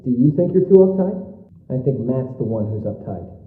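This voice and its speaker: A roomy, narrow, lo-fi recording of an actor as a young man in a video game. He is a tactless, sarcastic best-friend type who talks in a glib, sardonic, conversational way at a middling pitch. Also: big pitch swings, a little fast, slight background noise, natural voice. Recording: roomy, dull lo-fi game audio.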